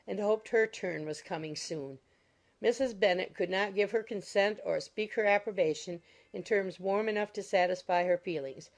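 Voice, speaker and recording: A woman's voice: medium pitch, a little mellow. A woman reading scripted narration of a classic novel as an audiobook narrator. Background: music.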